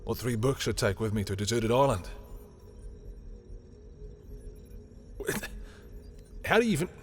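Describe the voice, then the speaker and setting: A man's voice: mid-range voice, very animated, rapid-fire, lightly acted. Man acting a sarcastic, petty villain over music. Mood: annoyed. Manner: sarcastic, theatrical, drawling taunts. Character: sardonic, petty male villain, grudgingly familiar with rival. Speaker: audio roleplay performer. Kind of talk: scripted audio roleplay acting.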